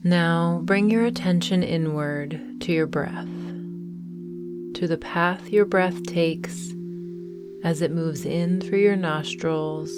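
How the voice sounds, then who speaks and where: A woman guiding a meditation in a gentle, soothing manner, with deliberate phrasing and long pauses. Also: on the low side, music in the background. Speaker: meditation guide and host. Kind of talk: guided morning meditation.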